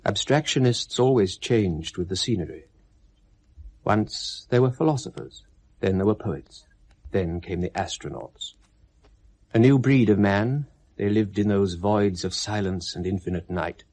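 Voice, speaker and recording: A man's voice: lowish voice, measured pace, darkish tone. Solemn man narrating a radio drama.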